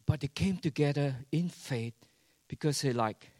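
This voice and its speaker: A man preaches in unhurried, deliberate phrases. He drops to near-hushed lines, then surges up loud and throws rhetorical questions at the congregation. The sound is close and dry. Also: fairly high pitch, no echo. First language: Chinese. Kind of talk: sermon.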